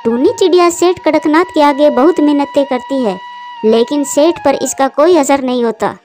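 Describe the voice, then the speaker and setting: A woman narrates a scripted story for children. Her voice is very high, and music plays behind her narration. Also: moderate pace, a little mellow.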